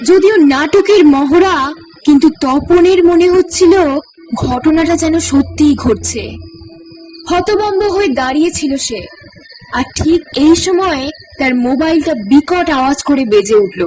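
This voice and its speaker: A woman narrating a horror story in a hushed, suspenseful way. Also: sits high, lively intonation, a little slow, distant mic, over music, rough recording.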